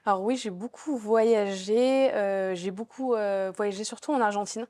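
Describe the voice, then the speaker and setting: A woman's voice: mid-range voice, a little slow, warm tone, no echo. A young woman chats through her answers in an easy, unpolished way, stopping and restarting often. Her voice sits in a middle range and is recorded close and dry. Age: young adult. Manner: chatty, many fillers and restarts. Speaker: business school student. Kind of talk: casual interview answers.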